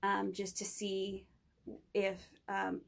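A young woman speaks as a student guest making an informal pitch. Her voice sits at an average pitch and crackles with vocal fry. Her phrasing is loose and a little tentative. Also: completely flat delivery, takes it slowly. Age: young adult. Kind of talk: informal spoken pitch in an interview.

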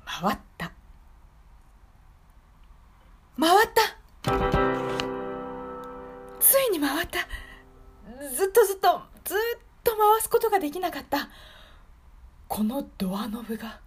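A woman playing a frightened captive locked in a room, in a dramatic, halting monologue for an improvised radio drama. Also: sits high, very animated, soft background music, pitched above the performer's own voice. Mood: desperate relief. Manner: dramatic, halting, breathy at times, with emphatic repetition.

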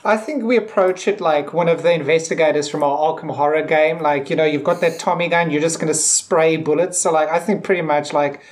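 Halting, chatty male podcast co-host. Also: on the high side, fairly even intonation. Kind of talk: casual episode discussion.

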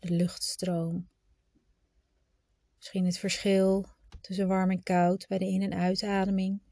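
Woman, meditation guide, slow unhurried pace, soft soothing delivery, informal instruction, dry close sound. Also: mid-range voice, completely flat delivery, dry recording. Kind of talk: guided meditation and breathing exercise.

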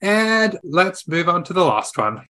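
Man, co-host, bright tone, teasing game-show presenting. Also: sits high, big pitch swings, measured pace. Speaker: podcast co-host. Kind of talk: playful show hosting.

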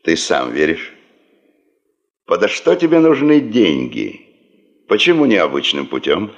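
Radio play: cautious senior official, gravelly with lively inflection, authoritative and probing, dull old recording. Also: male voice, low-pitched, unhurried, exaggerated voice. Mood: wary, probing. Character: cautious, weighty male senior official questioning an old comrade. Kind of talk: Soviet radio play acting.

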